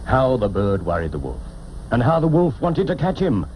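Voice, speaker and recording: A man narrating a children's storybook cartoon in a theatrical, expressive way, on an old film soundtrack. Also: medium pitch, moderate pace, a little hiss or hum, low-quality recording. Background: orchestral music.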